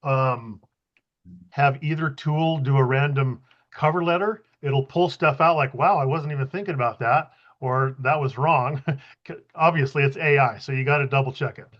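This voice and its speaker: A man's voice: mid-range voice, normal speed. A man giving a casual, tentative explanation in an online group meeting over a video call. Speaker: presenter sharing job-search tool experience. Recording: slightly muffled video-call audio.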